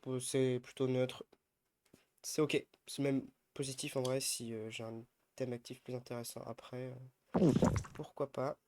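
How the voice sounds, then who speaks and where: A man streaming a game, nursing a head cold as he talks it through in a loose, casual way. He thinks aloud, counting and weighing each choice. Loud noise sits under the whole recording. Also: medium pitch, level tone, a little slow. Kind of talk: casual gameplay commentary.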